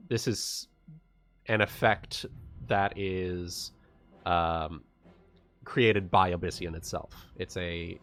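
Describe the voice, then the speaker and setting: A man narrating a live tabletop roleplaying session as game master, halting as he searches for words. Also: low voice. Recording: music playing behind the voice. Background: background music.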